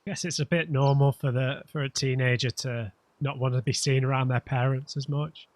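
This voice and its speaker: A man commenting as he plays a game, a bit nasal and losing his voice from hay fever, musing aloud in a relaxed way. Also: medium pitch, normal speed, no room sound. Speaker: let's play gamer. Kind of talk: gameplay commentary.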